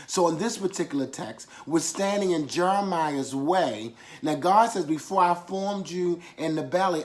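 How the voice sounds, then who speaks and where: A man's voice: fairly high pitch, a little mellow. A man preaching a sermon, repeating key phrases for emphasis and calling on listeners to affirm after him. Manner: emphatic repetition with call-and-response affirmations.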